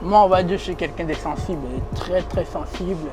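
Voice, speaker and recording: A young man with a high, bright voice and a foreign accent talks in street slang, looping his phrases and breaking into laughs. He is not a native French speaker. He sits inside a limousine, with clear music playing behind him. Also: steady tone, a little fast. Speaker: interviewee. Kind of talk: casual interview talk.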